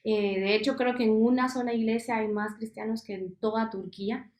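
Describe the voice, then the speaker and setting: A young woman from Guatemala gives an earnest testimony, pausing often on fillers and restarting her sentences. Her voice sits a little high for a woman. The sound is roomy and echoey. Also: steady tone, a little mellow. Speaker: missionary speaker. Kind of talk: personal testimony at a missions workshop.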